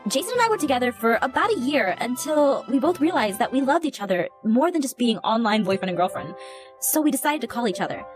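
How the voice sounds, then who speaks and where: Quick, higher-pitched woman storyteller over music. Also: very animated. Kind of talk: narrated personal story. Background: background music.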